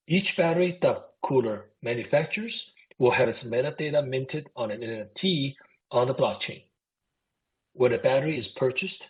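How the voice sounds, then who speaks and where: A man speaking as a company executive, formally reading prepared remarks in a scripted earnings presentation on a conference call. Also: medium pitch. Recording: phone-like narrowband conference call audio.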